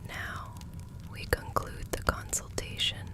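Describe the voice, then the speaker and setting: A woman playing a serene, gentle magical law consultant in an ASMR fantasy roleplay, speaking softly in careful, measured, soothing phrases. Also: close to an everyday voice. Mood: calm, careful.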